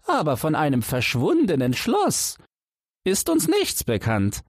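A man voicing the spokesman of a mouse council in a high piping voice. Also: wildly swooping pitch, unhurried, no echo, clean studio sound, full character voice. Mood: apologetic.

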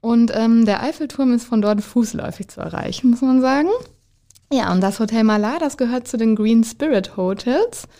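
A woman's voice: fairly high pitch, normal speed, dark tone. A woman travel blogger chatting casually and enthusiastically, with many fillers and little tag questions. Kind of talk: casual radio chat.

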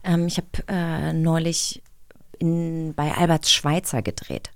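Lively, laughing woman co-host. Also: low-pitched, slow, dry recording, studio quality. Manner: animated, laughing storyteller who acts out quotes. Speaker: podcast co-host. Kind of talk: casual conversation.

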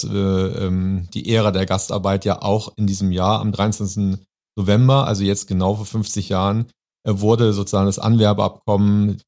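A man's voice: on the low side, dark tone. A man hosting a political discussion, speaking in long, earnest, academic sentences. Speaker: podcast host and interviewer.

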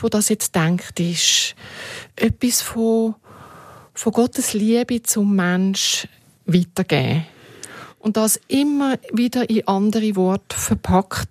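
A woman's voice: mid-range voice, darkish tone, no room sound, studio quality. A woman reflecting as an interview guest, thoughtfully building her answers phrase by phrase. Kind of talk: reflective conversational recollection.

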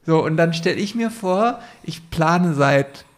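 A man co-hosting a casual business podcast, animated and self-mocking, laughing easily. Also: a little high, slow pace. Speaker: podcast co-host.

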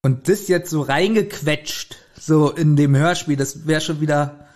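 A man's voice: a little high, unhurried. A man co-hosting a podcast, commenting on a story in informal, opinionated chat. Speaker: podcast co-host. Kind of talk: casual chat reviewing an audio drama.

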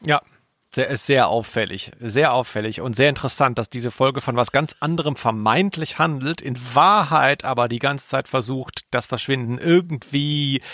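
A male podcast co-host with a voice of average pitch. The recording is dry, and he talks in a casual, analytical style. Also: creaky, fairly bright tone, no echo.

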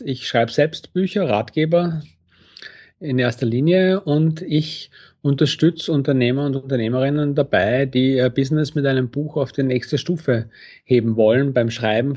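Husky-voiced male author guest. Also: medium pitch, dark tone. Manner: thoughtful, restarts phrases. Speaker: author and book coach, guest. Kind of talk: interview answers.

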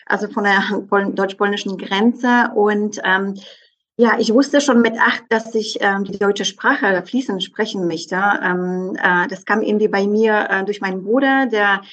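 A woman's voice: medium pitch, measured pace, fairly bright tone. Woman in her forties, hesitant. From southeast Poland. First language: Polish. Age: 40s. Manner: hesitant, many fillers, frequent "genau". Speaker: interview guest, mother of two. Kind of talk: casual interview conversation.

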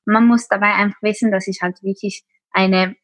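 A woman in her twenties with a Swiss German accent, talking openly as a podcast guest, often pausing on filler sounds. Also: medium pitch, fairly even intonation, no echo. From Switzerland. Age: 20s. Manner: open, many filler sounds. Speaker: podcast guest, teacher and blogger. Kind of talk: casual interview talk.

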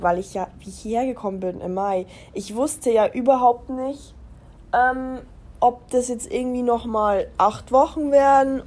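A woman's voice: fairly high pitch, dark tone. A young adult woman from Germany talking casually as a podcast host, chatty and giggly, rambling and interrupting herself as she goes. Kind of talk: casual personal podcast monologue.

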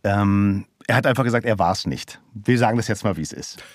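A man's voice: on the low side, a little fast, no room sound, clean studio sound. A man in his fifties from Germany, a guest on a talk show, telling a personal story openly with many fillers. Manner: open, relaxed storytelling with frequent fillers. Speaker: podcast guest, voice actor. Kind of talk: casual interview chat.

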